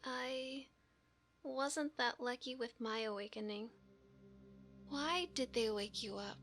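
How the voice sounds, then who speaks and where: An actress plays a young female angel in a visual novel game, earnest and dreamy. Her voice is bright and fairly high, delivered with restraint over light background music. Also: slow. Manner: subdued, flatly earnest delivery. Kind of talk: scripted visual novel dialogue.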